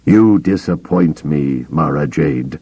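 A man voicing an old, mad Jedi master villain in an audiobook, serenely menacing and condescending, with slow, pompous delivery. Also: extremely low pitch, dark tone, breathy edge, no echo, highs cut off, heavily acted.

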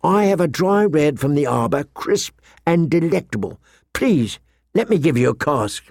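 A man's voice: on the high side, very animated, normal speed, creaky, a little mellow, no echo, clean studio sound, heavily acted. A man narrating a fantasy audiobook, voicing a small, slender wine merchant with a florid, obsequious sales patter. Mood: fawning, flattering.

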